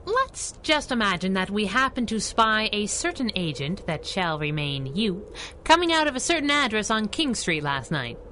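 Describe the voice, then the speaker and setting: Bright, sassy masked heroine. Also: female voice, mid-range voice, big pitch swings, moderate pace, a little hiss or hum. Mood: teasing, cool. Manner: wisecracking, teasing, knowing. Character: sassy masked heroine, teasing, brash, streetwise young woman. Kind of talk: 1930s radio adventure serial acting.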